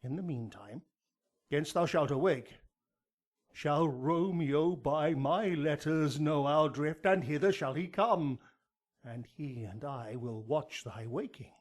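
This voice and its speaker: Solemn, slow-speaking friar. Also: male voice, a little high. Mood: solemn, urgent. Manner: measured, grave, deliberate. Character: solemn, fatherly friar counselling a young woman. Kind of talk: Shakespearean radio drama acting.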